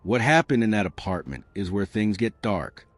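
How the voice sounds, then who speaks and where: A man's voice: fairly low pitch, animated, normal speed, no room sound. A man reading a scripted gossip documentary narration as a voice-over. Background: music.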